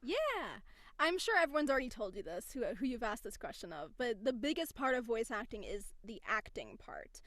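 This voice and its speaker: Animated woman voice actor, dry sound. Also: a little high, moderate pace, no echo. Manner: animated, explains with quoted examples. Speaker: voice actor and casting director, guest. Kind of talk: casual interview talk.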